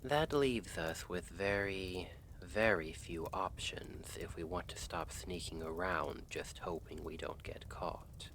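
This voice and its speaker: Man acting as a slow, low-voiced lovestruck assassin. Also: slight background noise, full character voice. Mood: gently persuasive. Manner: soft, breathy, often half-whispered, measured and formal. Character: lovestruck male assassin, brooding, earnest, devoted. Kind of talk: ASMR roleplay, intimate dramatic monologue.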